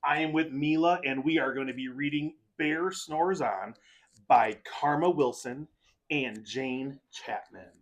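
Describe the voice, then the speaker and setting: A man's voice: mid-range voice, unhurried. A man, speaking as a host in the style of a school morning announcement. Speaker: host introducing a student reader. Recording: clicky recording with faint background noise.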